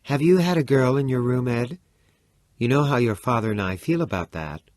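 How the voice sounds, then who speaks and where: A man voicing a calm, cold middle-aged stepmother who speaks quietly and evenly. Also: medium pitch, normal speed, no echo, clean studio sound, pitched above the performer's own voice, natural voice. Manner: quiet, even and controlled.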